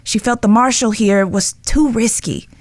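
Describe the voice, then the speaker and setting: A woman playing a guilt-ridden, lovestruck young outlaw spy in an audio drama, speaking earnestly and emotionally with pauses. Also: a little high, no echo, natural voice. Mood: confessional, wary.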